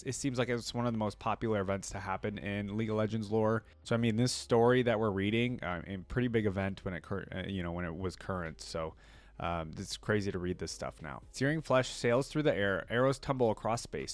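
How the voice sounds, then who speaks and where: A man with a fairly low voice reads lore aloud as host of a reaction video. He shifts between narration and casual commentary. The sound is dry, with hardly any room in it. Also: brisk, no echo.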